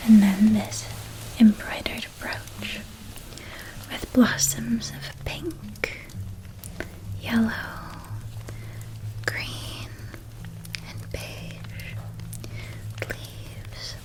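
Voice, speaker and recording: A woman playing a gentle, nurturing witch in a whispered ASMR roleplay, speaking in a soft, breathy, doting manner and lingering over details. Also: a little high, fairly even intonation, extremely slow, pauses often, low-quality recording. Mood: gentle, caring. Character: gentle, nurturing witch helping a young student pack.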